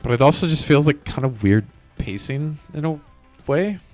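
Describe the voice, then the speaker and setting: A man streaming a video game, chatting casually and rambling as he thinks out loud. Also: a little high, animated, normal speed. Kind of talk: casual live gameplay commentary. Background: game music.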